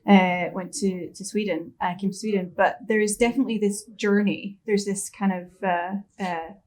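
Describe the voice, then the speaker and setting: Panelist, woman from Scotland, halting and reflective. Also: mid-range voice, fairly even intonation, darkish tone. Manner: hesitant, many restarts, thinks aloud. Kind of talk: panel discussion.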